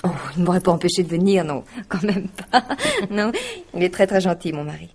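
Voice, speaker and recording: An actress playing a chatty, scatterbrained, excitable wife who gushes, in a 1950s-style French radio drama. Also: female voice, lowish voice, a little fast. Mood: chatty, flustered. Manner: bubbly, gushing, talkative. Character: chatty, scatterbrained, excitable married woman, believer in horoscopes.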